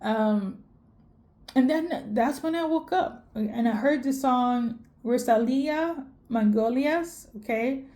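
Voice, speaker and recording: A woman's voice: on the high side, slow pace, mellow. A young woman from Sweden giving a casual spiritual reading, rambling with many fillers and self-corrections. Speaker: tarot reader.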